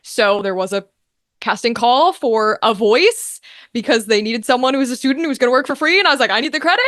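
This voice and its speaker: Young woman with a clear, higher voice. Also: expressive, quick pace, fairly bright tone, dry recording, clean studio sound. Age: young adult. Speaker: guest, voice actor. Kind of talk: casual interview chat.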